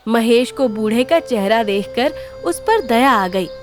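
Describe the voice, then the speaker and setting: High-pitched woman narrating a story. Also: lively intonation, a little slow, faint music behind. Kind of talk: scripted children's story narration.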